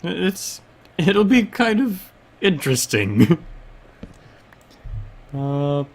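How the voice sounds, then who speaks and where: A man from the United States, streaming a game and chatting casually, relaxed and often laughing. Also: on the high side, drawn-out words. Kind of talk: casual gameplay stream chat.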